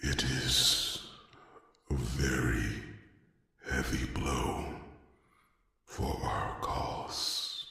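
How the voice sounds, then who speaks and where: An echo-drenched, extremely slow and gravelly voice of a grave, caring fantasy commander. He speaks solemnly and haltingly in an ASMR roleplay, as if counselling someone he protects. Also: a bass voice, bright, forceful tone, exaggerated voice. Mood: solemn grief. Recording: very echoey, distant from mic.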